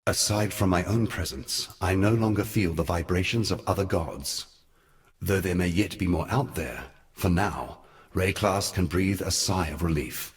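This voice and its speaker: An actor playing a grave, noble god who guides mortals, speaking in a solemn, measured, lofty way for a fantasy game. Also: male voice, deep voice. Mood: grave, instructive. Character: grave, noble brother god, guiding mentor of exiles. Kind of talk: dramatic fantasy game voice acting.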